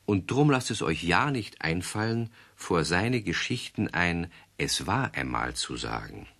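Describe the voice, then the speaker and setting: A man narrating a children's radio play, gentle and confiding, addressing the young listeners directly. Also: low-pitched, very animated, measured pace, creaky. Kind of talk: warm storybook narration for children.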